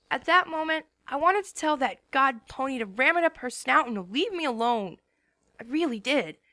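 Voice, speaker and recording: A woman reads a first-person story with earnest emotion, her voice on the higher side. Soft music plays faintly under her narration. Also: no room sound. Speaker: reader.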